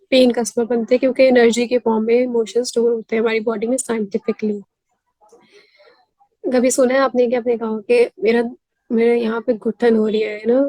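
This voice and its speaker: A Pakistani woman hosting a mental health series, hoarse from a sore throat, explaining earnestly as she switches between Urdu and English. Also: on the high side, dark tone, dry recording, poor audio. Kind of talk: self-help talk to camera.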